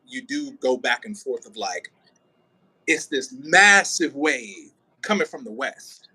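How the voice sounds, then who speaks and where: A man's voice: fairly high pitch, bright, forceful tone, breathy edge, rough recording. A man directing an audio play rehearsal, casually coaching an actor and expressively demonstrating lines. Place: indoors near a window, on a remote call.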